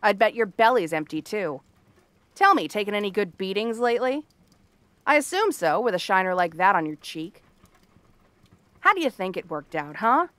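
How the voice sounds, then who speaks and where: A woman playing a cranky, cursed frog-girl princess in an ASMR fantasy roleplay, haughty, sardonic and theatrical with a soft spot underneath. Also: medium pitch, big pitch swings, moderate pace, long pauses, dry recording. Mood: bitter, scornful.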